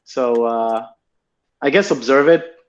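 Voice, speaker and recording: A man's voice: medium pitch, takes it slowly. Israeli man, lo-fi interview audio. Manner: relaxed storytelling with frequent fillers. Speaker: guest, company founder. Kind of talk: casual interview chat.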